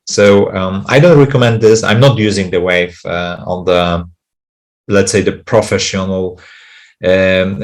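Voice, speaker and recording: Guy doing a screen share tutorial, lowish gravelly voice, lots of uhs and restarts. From Poland. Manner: halting, frequent fillers and restarts, non-native grammar. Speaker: accessibility testing presenter.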